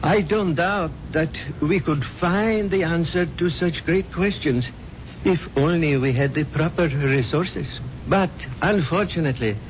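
An actor playing a solemn, smooth charlatan guru in a 1970s radio drama, with an unctuous, grandly formal way of speaking. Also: male voice, fairly high pitch, natural voice. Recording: dull, slightly noisy 1970s radio recording.

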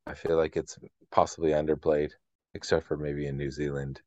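A man's voice: rumbling low, flat delivery, normal speed, darkish tone, no echo. A man chatting casually about an album as a podcast co-host. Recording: dull, dry lo-fi sound.

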